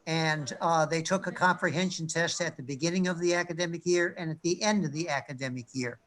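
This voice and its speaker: A man on a discussion panel, telling anecdotes in a thoughtful way with frequent pauses and fillers. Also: a little high, fairly bright tone. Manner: thoughtful, many fillers, storytelling. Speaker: panel speaker, reading researcher.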